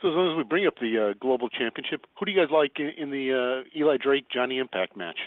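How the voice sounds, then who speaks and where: A man's voice: mid-range voice, animated, fast, dry recording. A man casually putting interview questions to guests on a conference call. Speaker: moderator asking questions. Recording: tinny dry phone line.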